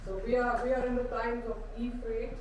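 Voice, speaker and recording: A woman's voice: fairly high pitch, level tone, brisk, a little mellow. A woman from an airline, making an emphatic comment at a panel discussion. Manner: emphatic, repeats key words. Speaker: airline representative. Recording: roomy hall, distant mic, noise.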